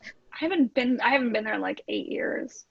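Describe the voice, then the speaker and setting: A woman's voice: fairly high pitch, animated, normal speed, gravelly, breathy edge, no room sound, muffled recording. A woman talking in a casual, conversational way among a group. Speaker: podcast cast member. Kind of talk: casual chat.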